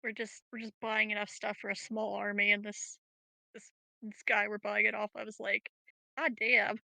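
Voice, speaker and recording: Very bright, creaky-voiced woman. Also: medium pitch, little pitch movement, moderate pace, no echo. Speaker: tabletop game player. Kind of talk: casual chat.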